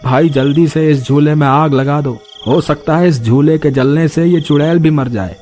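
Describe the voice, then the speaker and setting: A man's voice: on the high side, steady tone, normal speed, darkish tone, close to an everyday voice. Man playing a bold, commanding villager. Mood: urgent. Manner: declamatory, commanding. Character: bold, indignant male villager rallying against a witch. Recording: music bed behind voice.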